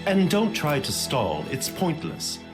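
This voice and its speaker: Smug nobleman with swooping pitch. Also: wildly swooping pitch, slow pace, exaggerated voice. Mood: mocking. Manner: pompous, mocking, condescending. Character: smug, taunting adult nobleman gambler. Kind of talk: anime audio drama villain acting. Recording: studio voice over clear background music.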